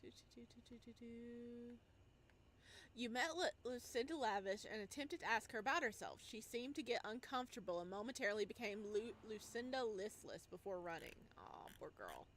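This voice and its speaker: High-pitched, animated woman streamer. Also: moderate pace, a little hiss or hum. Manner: expressive, exclaiming, singsong asides. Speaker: game streamer. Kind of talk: playful gameplay commentary mixed with reading on-screen text aloud.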